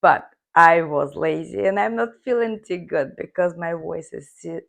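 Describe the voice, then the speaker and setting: A woman hosting a cooking video from her home kitchen, her voice hoarse, chatting viewers through each step. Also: lowish voice, moderate pace. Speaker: cooking channel host. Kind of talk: casual cooking demonstration.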